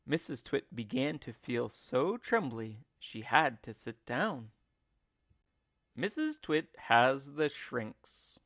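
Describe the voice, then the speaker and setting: A man reading a storybook aloud like a teacher, with expressive, deliberate and emphatic phrasing. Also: medium pitch, no room sound, clean studio sound. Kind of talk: expressive read-along storybook narration.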